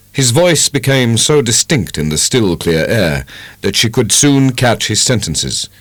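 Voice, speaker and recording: Deep, expressive British male narrator. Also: no room sound. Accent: British English. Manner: measured, expressive storytelling. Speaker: audiobook narrator. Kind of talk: dramatic scripted literary narration.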